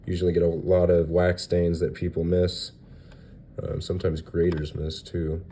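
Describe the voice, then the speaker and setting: A young man with a stuffy, nasal voice from a recent cold, chatting loosely through a card unboxing with many pauses and fillers. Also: low voice, monotone, warm tone, a little hiss or hum. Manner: rambling, hesitant, lots of fillers. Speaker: card collector showing graded cards. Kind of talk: casual unboxing vlog.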